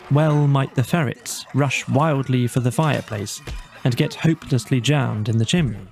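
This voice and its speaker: A man narrating a bedtime storybook in a measured, dramatic storytelling manner. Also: mid-range voice, gravelly, a little mellow. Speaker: audiobook narrator.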